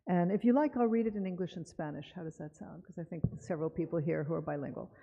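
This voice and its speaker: A woman from Cuba giving an unhurried, conversational author talk in a synagogue chapel, reading a poem aloud and often pausing with fillers. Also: fairly low pitch, creaky, warm tone, husky. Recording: dull live broadcast with long reverb.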